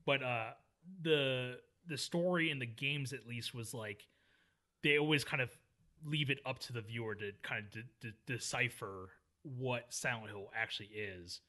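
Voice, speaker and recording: A man co-hosting a film podcast, talking in a rambling, animated way and often correcting himself mid-sentence. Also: mid-range voice, moderate pace. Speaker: podcast co-host. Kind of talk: casual film discussion.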